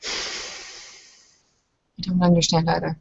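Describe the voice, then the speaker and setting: A woman voices a guarded, proud young wife in a roleplaying game, resigned but stubborn. Her delivery is formal and flat, with a creaky edge and measured control. The recording is dull and lo-fi. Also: lowish voice, no room sound, exaggerated voice. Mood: resigned, frustrated.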